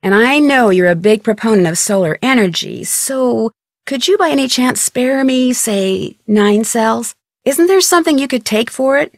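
A woman voicing a plucky, polite young amateur detective in a video game, with an American accent and clear, articulate delivery. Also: on the high side, expressive, normal speed, dry recording, studio quality. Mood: friendly, casual. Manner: clear, articulate, friendly direct address. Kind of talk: scripted video game dialogue and tutorial narration.

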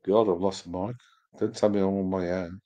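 Man, middle-aged, low voice, rambling, lots of fillers, video call. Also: fairly even intonation, moderate pace, dark tone, lo-fi sound. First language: Polish. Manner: rambling, self-deprecating, lots of "you know". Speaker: livestream co-host. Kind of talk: casual chat.

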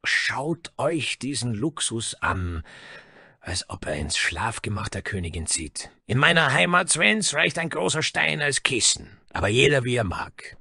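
Dwarf barbarian played by a man, scornful and boisterous, forceful rasp, fantasy tale. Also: medium pitch, animated, a little slow, dry recording, clean studio sound, pitched above the performer's own voice, full character voice. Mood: mocking. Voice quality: gravelly, raspy. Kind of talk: fantasy audio story character.

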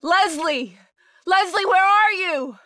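A woman's voice: very high voice, takes it slowly, pushed and bright, no room sound, exaggerated voice. An actress playing a tough, determined young woman agent in a survival horror video game, speaking in terse, guarded bursts. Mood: urgent, alarmed.

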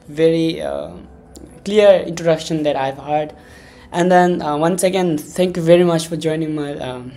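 Hesitant young man hosting, mellow voice. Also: fairly high pitch. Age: 20s. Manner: hesitant, wordy, repeats himself. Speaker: podcast host, interviewer. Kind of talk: casual interview, halting questions.

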